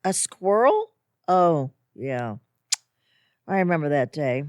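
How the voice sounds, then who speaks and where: A woman's voice: low-pitched, sing-song, takes it slowly, darkish tone, no echo, studio quality, full character voice. An actress playing a bewildered woman who has just died, pleading and defending herself, in a community audio drama. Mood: defensive. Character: newly dead, bewildered middle-aged woman.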